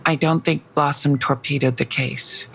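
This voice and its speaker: Guarded, creaky-voiced female detective. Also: a bass voice, steady tone, moderate pace, a bit bright, full character voice. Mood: guarded, weary. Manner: guarded, hesitant. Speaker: actor in a fiction podcast. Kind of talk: naturalistic audio drama acting.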